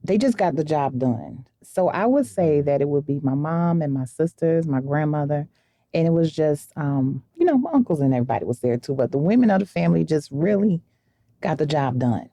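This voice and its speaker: A woman from Connecticut speaks as a podcast guest, relaxed and agreeable. Her voice is very low and mellow. The recording is dry and close. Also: no room sound. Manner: easygoing, agreeable, speaks with pauses and fillers. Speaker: guest, mushroom farm owner. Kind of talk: casual interview answers.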